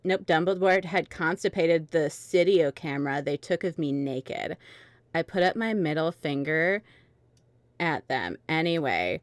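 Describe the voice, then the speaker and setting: A woman narrating an amateur dramatic reading of fan fiction, informal and halting as she works through the text. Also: sits low, dry recording. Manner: informal, halting, stumbling over misspelled text.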